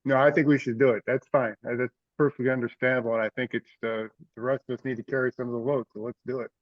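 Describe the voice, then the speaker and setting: A man's voice: medium pitch, flat delivery, quick pace, no room sound. Dull, dry-sounding man chairing a call. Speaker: meeting chair. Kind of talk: casual meeting discussion. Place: remote teleconference call. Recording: dull, dry teleconference audio.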